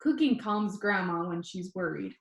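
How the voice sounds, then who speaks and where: A woman's voice: medium pitch, expressive, moderate pace, gravelly, highs cut off. Echoey woman reading a story aloud. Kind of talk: storybook narration read aloud. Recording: echoey room.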